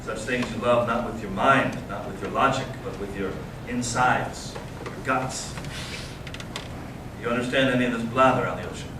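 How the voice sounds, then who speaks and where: A man playing a bitter, intense young intellectual brother, reading deliberately and earnestly in a staged reading. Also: mid-range voice, lightly acted. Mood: wistful, passionate. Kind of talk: staged readers theater reading. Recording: echoey room, distant mic, noisy amateur recording.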